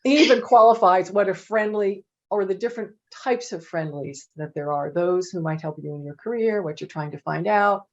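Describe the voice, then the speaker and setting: Woman, middle-aged, lowish voice, chatty storyteller, video call, dull lo-fi sound. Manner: chatty, animated, many "you know" fillers. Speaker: panel presenter. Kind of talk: casual anecdotal storytelling. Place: home office with bookshelves.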